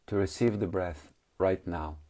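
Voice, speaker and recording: A man leading a guided meditation as a teacher, calmly instructing in short phrases with long pauses and slightly non-native English phrasing. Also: sits low.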